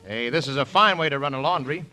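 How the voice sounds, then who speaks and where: An actor playing a teasing, brisk young romantic lead in a 1940s radio operetta. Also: male voice, medium pitch, noisy background, close to an everyday voice. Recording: old 1940s radio broadcast recording.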